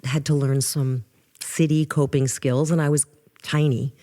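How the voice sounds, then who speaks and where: Older New woman with a low voice. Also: a little slow. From New York. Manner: animated storyteller, restarts often. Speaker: guest musician. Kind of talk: casual interview.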